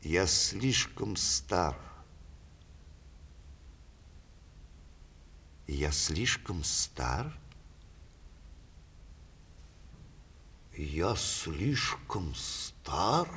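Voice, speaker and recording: A man playing a witty, sardonic elderly playwright, speaking with measured theatrical irony in a radio play. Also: deep voice, big pitch swings, pushed and bright, slight background noise, heavily acted. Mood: weary, resigned. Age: older. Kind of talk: 1960s radio theatre acting.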